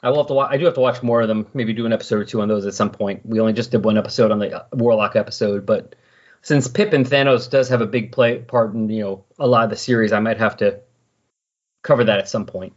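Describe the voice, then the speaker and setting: A man's voice: on the low side, steady tone, fast. A man hosting a podcast in casual chat, rambling and correcting himself as he recaps, on a dull, lo-fi recording. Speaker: podcast host. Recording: dull, lo-fi sound.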